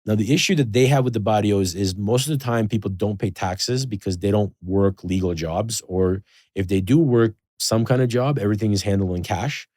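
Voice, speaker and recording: A man's voice: lowish voice, brisk, dry recording, clean studio sound. A man in a casual podcast conversation, talking in a loose, rambling way with lots of fillers. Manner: rambling, filler-heavy, animated storytelling.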